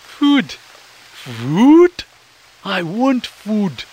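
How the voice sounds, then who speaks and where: A man voicing a greedy, excitable young male raccoon in a high, whiny voice, in a storybook reading. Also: slow pace, slight background noise, heavily acted, low-quality recording. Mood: greedy excited.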